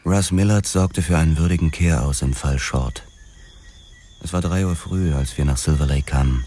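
An actor playing a grim, weary male police detective, narrating a hard-boiled crime radio play in a measured way. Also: very deep voice. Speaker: first-person narrator. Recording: music loud behind the voice.

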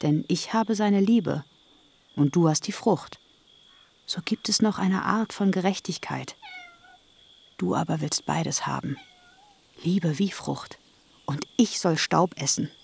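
A woman's voice: lowish voice, slow pace, creaky, long pauses, darkish tone, husky, full character voice. An actress playing Rachel, a young barren woman pleading earnestly with her sister in a radio play.

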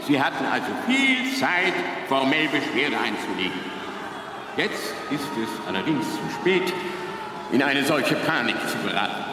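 A pompous alien bureaucrat booms from far off through cavernous reverberation and noise. His delivery swings widely in pitch as he makes cold, officious radio-drama announcements. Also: very animated, unhurried. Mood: impatient, scornful. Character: officious, callous alien bureaucrat commanding a demolition fleet. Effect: booming reverberant loudspeaker. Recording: cavernous echo, far off, noisy.

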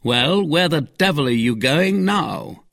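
A man's voice: on the low side, wildly swooping pitch, slow, gravelly, husky, no room sound, studio quality, full character voice. A man voicing a grave, solemn musketeer in a storybook, speaking with weighty emphasis. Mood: sharp, questioning. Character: solemn, grave musketeer, worldly older comrade.

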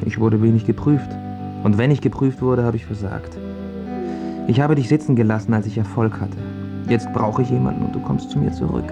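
An actor playing an anxious, self-doubting failed singer in a radio drama, brooding and needy in manner. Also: male voice, medium pitch, steady tone, fairly quick, vocal fry, highs cut off, over music, natural voice. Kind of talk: stage-like radio drama acting.

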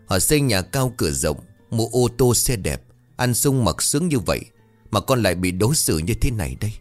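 A man voicing a sharp-tongued older village woman who wails and scolds loudly in an audio story. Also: on the low side, very animated, fairly quick, soft background music. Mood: wailing grief. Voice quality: loud, ringing. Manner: wailing, accusatory. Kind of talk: dramatic audio-story dialogue.

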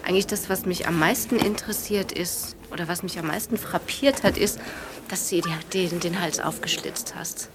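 A woman's voice: lowish voice, moderate pace, background noise, natural voice. An actress playing a probing, fascinated woman questioner who muses and rephrases informally in a naturalistic radio drama. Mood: probing, musing. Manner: musing, repeats and rephrases, informal.

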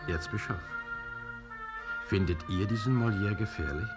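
An actor playing an imperious king in a radio play, ironically polite and deliberate. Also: on the low side, loud music behind the voice, natural voice. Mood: icy surprise. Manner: regal, mock-courteous, deliberate. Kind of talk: German radio play acting.

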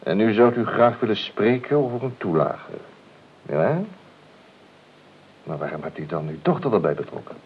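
A man's voice: lowish voice, expressive, moderate pace, long pauses, slightly rough. An actor playing a wry, evasive nephew in his thirties in a radio play, drily polite and ironic. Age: 30s. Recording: muffled old radio recording, faint noise.

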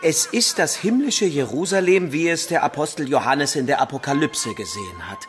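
An actor playing an earnest, dogmatic medieval scholar in a radio drama. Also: male voice, fairly high pitch, lively intonation, moderate pace, fairly bright tone, faint music behind. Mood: reverent, reciting. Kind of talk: German radio drama acting.

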